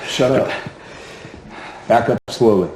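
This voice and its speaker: A man's voice: mid-range voice, slow, roomy sound, heavy background noise, natural voice. An actor playing a hard, short-tempered male guard, curt and threatening, in gritty screen drama. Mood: stern.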